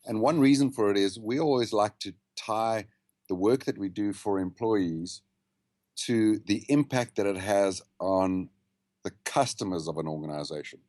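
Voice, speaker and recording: A man with a South African accent, a guest explaining his work in measured, fluent sentences over a call. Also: lowish voice, darkish tone, no echo, clean studio sound. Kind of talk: podcast interview over a call. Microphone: video call.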